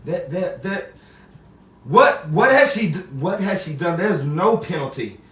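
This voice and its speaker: An actor playing a grief-stricken, brooding man tormented by lost love, with halting, theatrical delivery. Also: high-pitched, lively intonation, a bit bright. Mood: agitated disbelief. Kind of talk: 1950s-style romantic drama monologue and dialogue. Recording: roomy, distant, faintly noisy stage sound.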